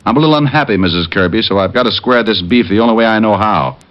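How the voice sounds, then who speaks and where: An actor playing a tough, wry, determined private detective in old-time radio style, with slangy wisecracking delivery. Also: male voice, on the low side, fast talker, natural voice. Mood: grimly resolved. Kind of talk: 1940s-50s radio detective acting. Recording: muffled lo-fi old radio recording.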